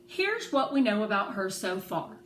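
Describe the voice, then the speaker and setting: A woman's voice: mid-range voice, big pitch swings, normal speed, fairly bright tone. A woman voicing a sensible, rule-loving young girl, earnest and matter-of-fact, in a storybook reading. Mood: methodical. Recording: roomy echo, faint background noise.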